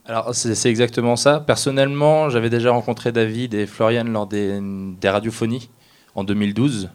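A man introducing himself as a guest at a round table, speaking in hesitant, halting phrases. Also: medium pitch, fairly even intonation, smooth. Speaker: guest, amateur audio fiction creator.

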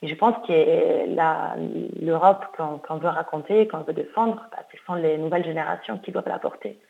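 A woman from Italy, a radio guest answering in a halting way, restarting her sentences often. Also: sits low, steady tone, creaky. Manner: hesitant, many restarts and fillers. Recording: dull lo-fi radio sound.

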